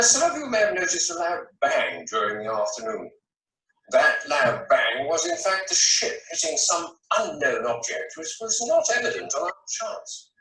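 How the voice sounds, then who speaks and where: A man's voice: fairly high pitch, bright, forceful tone, exaggerated voice. Man playing formal ship captain, echoey and distant. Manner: formal, measured. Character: formal cruise ship captain making calm emergency announcement. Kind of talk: amateur radio drama announcement. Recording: roomy, distant, faintly noisy video call.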